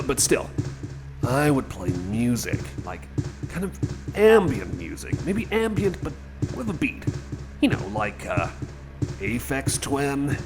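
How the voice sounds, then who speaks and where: Over clearly audible music, a man plays a nervous, friendly male companion in a rambling ASMR roleplay. His pitch is mid-range and swings about a lot. He speaks slowly and haltingly, often stammering and doubling back on phrases. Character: nervous, friendly male cyborg companion lost in endless corridors.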